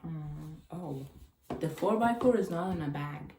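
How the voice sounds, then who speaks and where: Woman, native Chinese speaker, unboxing vlog, unhurried, hesitant, roomy echo, clicking noise. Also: low-pitched, expressive, warm tone. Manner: chatty, hesitant, with small grammar slips. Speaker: cube unboxing vlogger. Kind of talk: casual unboxing commentary. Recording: echoey room with clicking noise.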